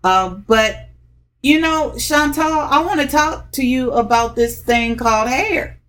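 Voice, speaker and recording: This is a woman who hosts a podcast, and she talks at an unhurried, easy pace. Her delivery is chatty and upbeat, with plenty of ums and you-knows as she interviews her guests. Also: sits high, fairly bright tone. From DMV area, US. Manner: chatty and upbeat, with frequent fillers. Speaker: podcast host.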